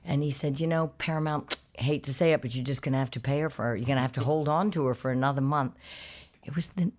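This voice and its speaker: Woman, 50s, very low deep voice; candid, animated interview guest. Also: fast. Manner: candid, animated storytelling with quoted dialogue. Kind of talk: conversational interview.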